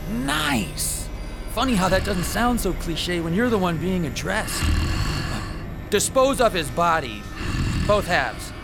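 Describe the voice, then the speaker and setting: A man's voice: high voice, big pitch swings, slow pace, gravelly, full character voice. An actor playing a timid, bullied man turned vengeful master in a horror radio drama, bitter, sarcastic and taunting. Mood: elated. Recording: music behind the voice.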